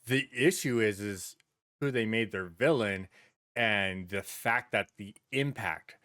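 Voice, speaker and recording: A man's voice: lowish voice, takes it slowly, bright, forceful tone, dry recording, studio quality. A man co-hosting a podcast, chatting casually and bluntly with plenty of swearing and repeated words. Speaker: podcast co-host. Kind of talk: casual chat, anecdotes and opinions.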